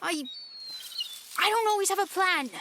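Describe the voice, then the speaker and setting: A woman's voice: very high-pitched, normal speed, pushed and bright, a little hiss or hum, full character voice. A woman playing a glum, irritable young boy with a curt manner, in an audio adventure drama. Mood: irritated.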